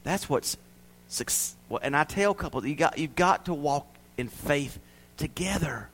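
High-voiced preaching man. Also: animated, unhurried, breathy edge. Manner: preachy, swings between loud emphasis and hushed asides. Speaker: pastor. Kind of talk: sermon. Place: church.

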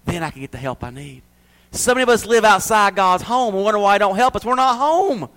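A man preaching a sermon to his church, swinging from loud emphasis to hushed asides. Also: high voice, lively intonation, quick pace. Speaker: pastor.

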